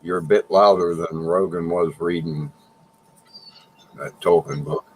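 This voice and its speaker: Man with a very deep voice, matter-of-fact, explains calmly, faint hiss. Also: measured pace, low-quality recording. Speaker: livestream co-host. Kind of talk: casual chat.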